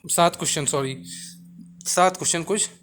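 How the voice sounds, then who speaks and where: High-voiced man explaining. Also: normal speed, a bit bright, a little hiss or hum. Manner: mixes English words into talk, repeats assurances. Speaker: exam coaching YouTuber. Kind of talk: informal explainer talk to viewers.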